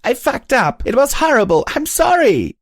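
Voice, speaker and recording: A man voicing a young female unicorn pony, pitching up into a strained, pleading voice. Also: big pitch swings, normal speed, a little mellow, no room sound, studio quality. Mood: sobbing. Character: young mare unicorn pony, shaken and tearful.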